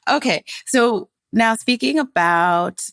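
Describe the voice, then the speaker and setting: A woman hosting an interview podcast who encourages her guest with quick affirmations and frequent laughter. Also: a little high, animated, no room sound. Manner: warm affirmations, playful laughter. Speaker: podcast host.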